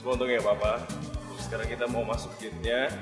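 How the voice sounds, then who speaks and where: A man's voice: medium pitch, flat delivery, racing. A young man with a Surabaya Javanese-tinged Indonesian accent, chatting casually into a camera held at arm's length as a travel vlogger. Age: young adult. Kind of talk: casual on-the-go chat. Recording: loud music and busy public background.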